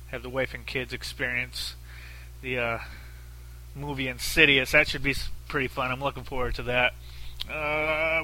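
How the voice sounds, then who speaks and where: A man's voice: medium pitch, pushed and bright, rough recording. A man with a very nasal voice, hosting a solo podcast in a blunt, profane, rambling way with lots of fillers. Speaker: podcast host. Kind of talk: casual solo podcast talk.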